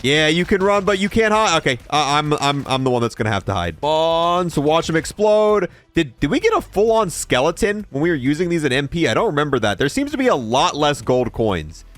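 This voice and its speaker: A man's voice: on the high side, sing-song, fairly quick, hardly any pauses, fairly bright tone. A man giving casual gameplay commentary as the host of a video game review.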